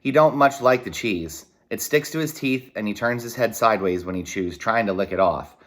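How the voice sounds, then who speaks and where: A man reading a children's novel aloud in a folksy, expressive way, with short explanatory asides. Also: on the low side, normal speed. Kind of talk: read-aloud narration with brief explanatory asides.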